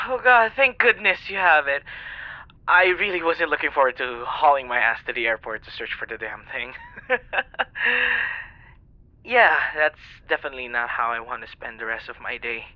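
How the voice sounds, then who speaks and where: A man's voice: medium pitch, lively intonation, moderate pace, dry recording, lightly acted. A man playing a flustered, apologetic young stranger on a phone call, rambling and hesitant in an intimate ASMR roleplay style. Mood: apologetic, relieved. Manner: hesitant, stammering, sighing, rambling.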